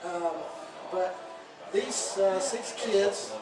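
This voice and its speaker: A man's voice: sits high, very slow. A man hosting a casual, impromptu interview in a coffee shop, rambling with many fillers and restarts. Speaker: coffee shop owner and show host. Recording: echoey room, mic far off, music playing. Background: music.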